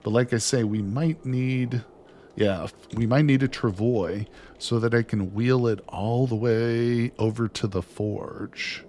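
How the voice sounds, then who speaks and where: A man streaming a video game, chatting excitedly to his viewers as he plays, losing his voice near the end of a long session. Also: medium pitch, slow pace, slight background noise. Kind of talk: casual gameplay commentary.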